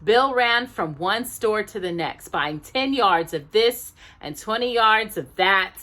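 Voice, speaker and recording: A woman narrating a children's storybook expressively, with deliberate, drawn-out emphasis aimed at small children. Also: medium pitch, expressive, sharp tone.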